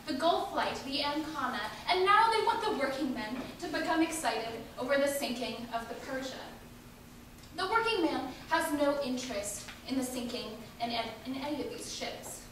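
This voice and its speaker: Very high-voiced woman orator, heavy echo. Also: expressive, moderate pace. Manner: emphatic oratory. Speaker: reader of historical speeches. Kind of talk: dramatic reading of an antiwar speech. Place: large indoor hall at a live event. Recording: very echoey hall, distant mic, faint noise.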